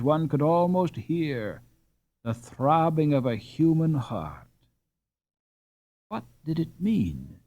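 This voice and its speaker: Male audiobook narrator, dramatic reading, very lively pitch, slow pacing. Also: highs cut off. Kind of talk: scripted narration.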